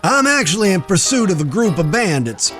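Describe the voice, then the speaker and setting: A jovial frontier marshal, played by a man, pitched very high for a male voice. He talks in folksy, salty cowboy phrases, as in a Western audio drama. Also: sing-song, brisk, fairly bright tone, soft background music. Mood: serious. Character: jovial, foul-mouthed frontier US marshal, old frenemy.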